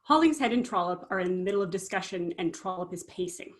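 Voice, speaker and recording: A woman's voice: lowish voice, animated, fast talker. A woman reading stage directions as scripted narration for a play.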